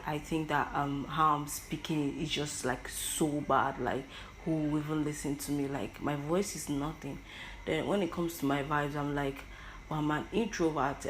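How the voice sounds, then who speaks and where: Low-voiced Nigerian woman with an Igbo accent. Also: a little hiss or hum, rough recording. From Igbo land, Nigeria. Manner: stammering, repeats words. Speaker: podcast host. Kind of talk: personal reflective monologue.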